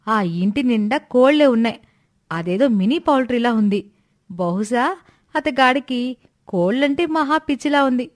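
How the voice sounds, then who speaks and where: A woman voicing a cheeky, scheming young village woman in a moral storybook tale, bold, coaxing and insistent. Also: fairly high pitch. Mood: sly.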